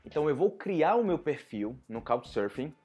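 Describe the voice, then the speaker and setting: A man with a Brazilian accent, teaching Portuguese to foreigners in a casual, animated talk. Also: on the high side, moderate pace, breathy edge, faint music behind. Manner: lively, animated, acts out students' voices. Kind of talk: casual talk-to-camera lesson.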